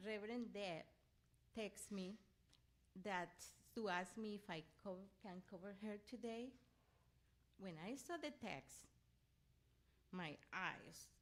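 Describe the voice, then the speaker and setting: A middle-aged or older woman from Venezuela, a native Spanish speaker with a Venezuelan accent, giving an animated personal inspirational talk as a guest speaker. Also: mid-range voice. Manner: animated storytelling, often acting out her own reactions; laughs readily while talking.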